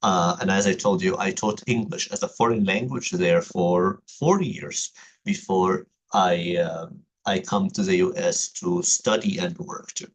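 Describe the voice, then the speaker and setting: A deep-voiced man in his thirties with an Egyptian Arabic accent speaks at a home computer late at night, recording an introduction for an online writing class. His voice is slightly husky, and he fills his careful explanations with frequent ums and uhs. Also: low-quality recording. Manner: hesitant, many fillers, careful explanatory delivery. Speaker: university writing instructor.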